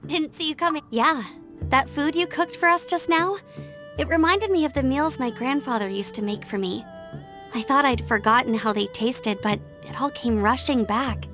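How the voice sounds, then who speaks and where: A bright young scout. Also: female voice, sits high, normal speed. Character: cheerful young woman scout, warm and nostalgic. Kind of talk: video game cutscene voice acting. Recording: game music under the voice.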